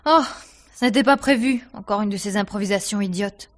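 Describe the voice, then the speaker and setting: An actress playing a self-possessed, sardonic theatre star under suspicion in a 1950s-style French radio mystery, with a dry, poised delivery. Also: female voice, medium pitch, fairly quick, natural voice. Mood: matter-of-fact. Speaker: radio drama actor.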